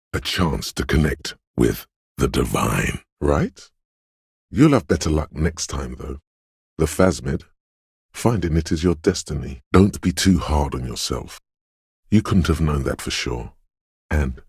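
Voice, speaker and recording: Mystical inner voice of intuition, video game narration, bottomless bass, brooding, dreamlike, very dry close sound. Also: male voice, no room sound, exaggerated voice. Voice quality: deep, gravelly, husky. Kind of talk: scripted video game narration in second person.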